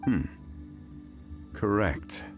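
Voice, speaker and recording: An actor playing a composed, formal gentleman in a video game who reasons through clues aloud. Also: drawn-out words, over music. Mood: analytical. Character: composed, ageless scholarly gentleman, calm and deductive.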